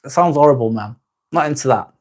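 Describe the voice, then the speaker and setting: A man's voice: medium pitch, brisk. Casual man chatting on stream. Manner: relaxed, rambling, frequent restarts and swearing. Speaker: live stream host. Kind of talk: casual live chat reading comments.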